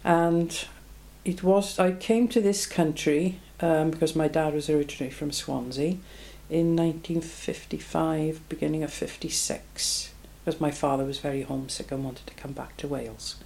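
A woman's voice: low voice, steady tone, normal speed, creaky, few pauses, mellow, a little hiss or hum. A woman in her seventies, born in Belgium, recalling her life in an oral history interview, reflective and plain-spoken. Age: 70s.

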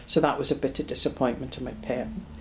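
A woman's voice: rumbling low, fairly even intonation, fast talker, slight background noise. A woman in her seventies, born in Belgium, recalling her life in an oral history interview, reflective and plain-spoken. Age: 70s.

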